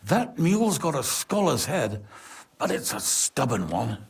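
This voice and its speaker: An actor playing a worldly, anxious medieval lord in a video game, speaking in a measured, formal way. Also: male voice, medium pitch, big pitch swings, breathy edge, exaggerated voice. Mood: grave, concerned. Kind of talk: video game cutscene acting.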